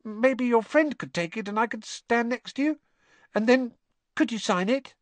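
An eager little schoolboy, piping very high above an adult register. He gushes hopefully and breathlessly, like a star-struck fan in a children's audiobook, in a close, dry recording. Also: moderate pace, dry recording. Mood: eager, excited. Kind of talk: audiobook character voice.

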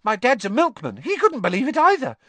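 A man's voice: sits high, lively intonation, moderate pace, a bit bright, dry recording, voice pushed up. A man voicing an eager, star-struck small schoolboy with a gushing, hopeful manner in an audiobook. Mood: eager, excited. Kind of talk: audiobook character voice.

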